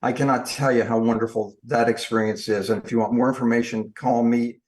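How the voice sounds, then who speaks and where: An older man with an ordinary mid-range voice tells stories as an interview guest. His delivery is lively and emphatic as he drives his points home. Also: medium pitch, brisk. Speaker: guest, industry trainer. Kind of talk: storytelling in an interview.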